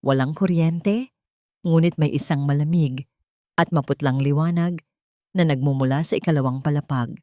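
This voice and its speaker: A woman with a fairly low voice narrates a dramatic story with tension in her reading. The recording is close and dry, with no room sound. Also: normal speed, pauses often, darkish tone, dry recording. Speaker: audiobook narrator.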